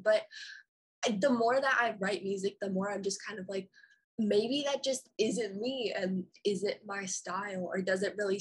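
A woman's voice: mid-range voice, slightly rough, poor audio. A young woman chatting casually about songwriting, rambling with many fillers. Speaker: songwriter in a discussion. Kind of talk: casual chat.

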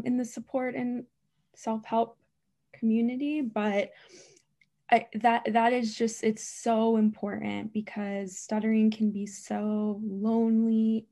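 A woman who stutters speaks in an unhurried, deliberate way, often repeating sounds and words. As a speech therapist and interview guest, she gives earnest, emphatic answers. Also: mid-range voice, vocal fry, dark tone. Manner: stutters, with repetitions and blocks. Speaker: guest, speech-language pathologist.

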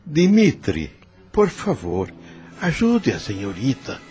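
A man's voice: medium pitch, huge pitch swings, slow pace, dark tone, faint music behind. An actor playing an old, sly male coachman in a radio drama, speaking deferentially with a hint of irony. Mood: courteous.

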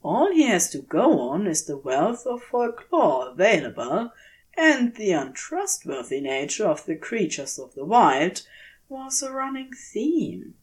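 A woman narrating scripted fiction in a measured, dramatic storytelling manner. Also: mid-range voice. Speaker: audiobook narrator.

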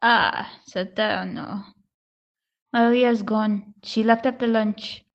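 A woman acting in an audio play as a slow, matter-of-fact old grandmother, in a muffled recording. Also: mid-range voice, fairly even intonation, creaky.

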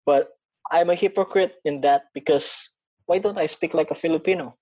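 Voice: mid-range voice, normal speed, a little mellow, highs cut off. Reflective speaker with American-leaning English. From Indonesia. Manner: reflective, self-questioning. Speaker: school English program coordinator. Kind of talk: casual chat.

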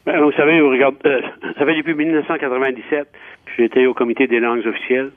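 A man's voice: medium pitch, flat delivery, racing, no echo. An older man with an Acadian French accent, a politician speaking passionately and emphatically, repeating himself, as a guest on a radio show over the phone. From Acadia, New Brunswick. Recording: tinny phone line.

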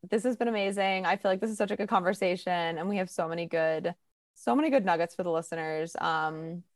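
Low-voiced woman hosting a casual podcast. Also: fast, dry recording, studio quality. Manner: emphatic, opinionated, peppered with "like". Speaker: podcast host. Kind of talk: casual conversational podcast talk.

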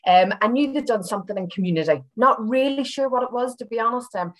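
A woman's voice: fairly high pitch, quick pace. A woman from Belfast with a Northern Irish accent, talking in a chatty, reflective, slightly hesitant way as an interview guest. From Belfast, Northern Ireland. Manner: chatty, hesitant, circling back with hedges. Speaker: university senior lecturer, interview guest. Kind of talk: casual reflective personal interview.

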